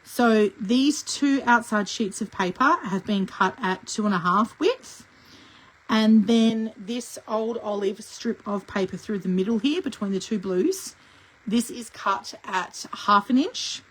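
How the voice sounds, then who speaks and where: A middle-aged woman with a croaky voice from a lingering cough, teaching a live scrapbooking tutorial in a chatty way and clearing her throat often. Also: mid-range voice, a little slow, rough recording. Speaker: scrapbooking instructor.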